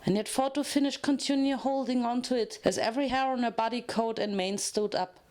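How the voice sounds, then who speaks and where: A woman's voice: a little high, a little fast, a little mellow. A woman narrating a scripted story as a dramatic reading.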